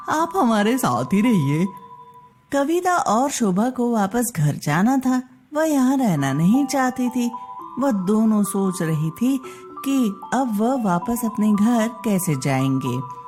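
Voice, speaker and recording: Female story narrator: slow, expressive, highly varied intonation, soft music underneath. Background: background music.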